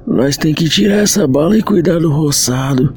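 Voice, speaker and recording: A man plays a stern old farmer father in an audio drama, ordering and grumbling in a rural Brazilian accent. The voice is fairly high and soft-edged, over music. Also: animated, normal speed, natural voice. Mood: gruff scolding. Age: older.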